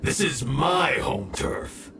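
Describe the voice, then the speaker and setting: A man voicing a scheming, gloating villain in a video game, theatrical and taunting, swinging from sly to shouting. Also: fairly high pitch, lively intonation, slow pace, echoey room, recorded from a distance, noisy background. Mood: gloating, menacing. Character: scheming, gloating male villain with a hideout rigged to explode. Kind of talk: animated video game voice acting.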